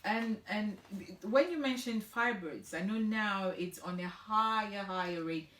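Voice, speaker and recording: A woman's voice: mid-range voice, measured pace, a bit bright. A woman interviewing a guest in a chatty, playful way, laughing easily. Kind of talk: conversational interview. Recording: echoey room, distant from mic.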